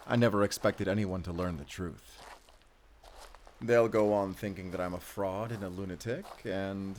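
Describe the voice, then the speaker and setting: A deep-voiced, weary wolf hunter played by a man in an audio drama. He speaks quietly and thoughtfully, with measured compassion, over faint background noise. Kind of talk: cinematic audio roleplay acting.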